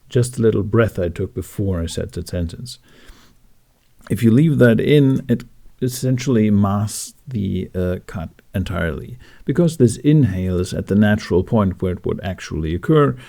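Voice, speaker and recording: Low-voiced man with gravelly fry, explaining. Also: mellow. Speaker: tutorial host. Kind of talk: instructional narration for a how-to video.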